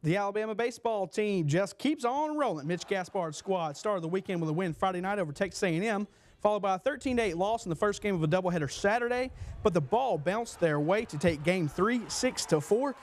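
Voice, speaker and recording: A man's voice: sits high, animated, a little fast, a little hiss or hum. A young man reading a scripted sports newscast as a TV anchor. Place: small TV news studio. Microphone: lapel mic.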